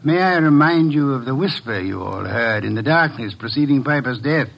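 A man playing a pompous, imperious fat detective in an old radio drama, speaking in formal, pedantic, deliberate phrases. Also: medium pitch, animated, gravelly, fairly bright tone. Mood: coolly probing.

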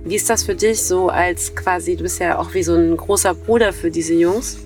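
A woman's voice: on the low side, fairly even intonation, moderate pace, darkish tone, faint music behind. A woman reporter talking reflectively in a casual conversation, sometimes searching for words.

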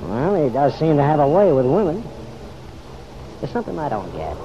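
A man playing a persistent, easygoing American police detective who needles in unhurried slang, in an old-time radio drama. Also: on the high side, huge pitch swings. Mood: pressing. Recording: muffled, noisy vintage radio recording.

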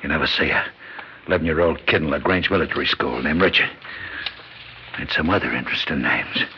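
An actor in a classic radio drama playing a dying old American gangster boss, menacing and blustering, with a gravelly, husky voice and curt, bullying street talk. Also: male voice, rumbling low, a little fast, a little hiss or hum. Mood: sneering. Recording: dull lo-fi radio drama recording.

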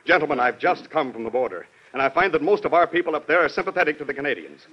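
An actor playing an urgent, respectful army general giving a formal briefing in an old-time radio drama. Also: male voice, on the high side, lively intonation, racing, husky. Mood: urgent, grave. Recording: dull lo-fi vintage radio recording.

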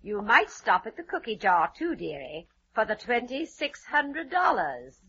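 A woman's voice: a little high, big pitch swings, unhurried, bright, forceful tone, soft background music, full character voice. An actress playing a blunt, prim, grasping older woman in an old-time radio drama. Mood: prim, needling. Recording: muffled vintage radio recording.

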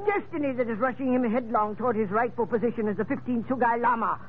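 Pompous, high-voiced scheming Major. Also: male voice, fast talker. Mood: grandiose. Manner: grandiloquent, unctuous, theatrical. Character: pompous, florid scheming male Major, oily swindler. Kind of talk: 1940s-50s radio drama acting. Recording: tinny, hissy old radio recording.

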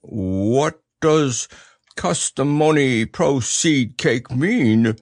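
A man voicing a humble, slow-witted, gentle toy bear in an earnest, plodding way for a storybook. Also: mid-range voice, expressive, no room sound, clean studio sound. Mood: puzzled, humble. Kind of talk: storybook character voice.

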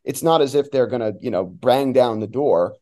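A man's voice: medium pitch, moderate pace, darkish tone. A man giving casual, opinionated sports analysis as an interview guest, in an informal conversational way. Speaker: guest, soccer play-by-play announcer.

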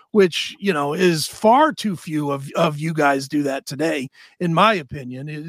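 A man hosting a casual interview, putting informal, wandering questions with emphatic pauses. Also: on the high side, no echo. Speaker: interview host.

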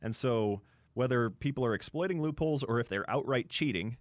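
A man's voice: medium pitch, animated, fairly quick, no room sound. A man co-hosting a sports radio show, chatting casually and thinking aloud as he goes.

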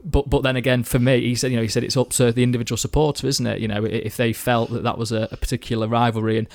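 A man's voice: mid-range voice, flat delivery, very fast, creaky, a little mellow, no room sound. A young man from the Manchester area chatting casually about football, rambling with lots of fillers and restarts. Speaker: football podcast guest. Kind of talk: casual chat.

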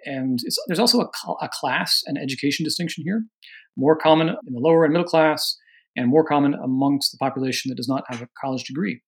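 Podcast guest, man from Oregon, earnest, careful explainer, somewhat high voice. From Oregon, USA. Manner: measured, earnest, carefully qualifying. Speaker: guest author on religion and politics. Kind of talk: podcast interview answers.

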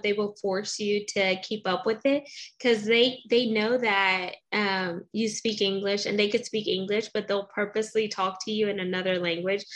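A woman's voice: mid-range voice, fairly bright tone. Chatty woman hosting a podcast. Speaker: podcast host. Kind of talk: casual interview talk.

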